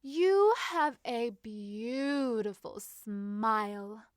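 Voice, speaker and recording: A woman's voice: on the high side, lively intonation, very slow, dry recording, studio quality. A woman voicing a giddy, playful young Regency lady in an audiobook-style story, speaking in a dreamy, unguarded way. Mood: dreamy, affectionate.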